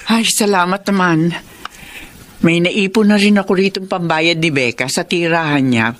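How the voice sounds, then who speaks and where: A woman's voice: fairly low pitch, normal speed, close to an everyday voice. A woman playing a worried, self-sacrificing middle-aged mother, expressively, in a Filipino radio drama. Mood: pleading.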